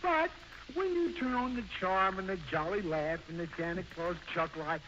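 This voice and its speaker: An actor playing an excitable, bumbling suburban husband and father in an old radio comedy, stammering and flustered. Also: sits high, moderate pace. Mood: eager, coaxing. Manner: flustered, stammering, interjecting constantly. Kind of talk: 1940s radio comedy acting. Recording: dull, noisy old-time radio recording.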